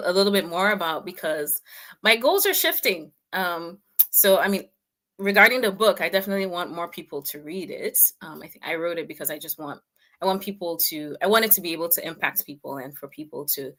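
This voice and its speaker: A woman's voice: lowish voice, expressive, a little fast, pushed and bright. A woman, answering reflectively as a guest author and counselor in a conversational interview, often repeating words as she explains. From Cameroon.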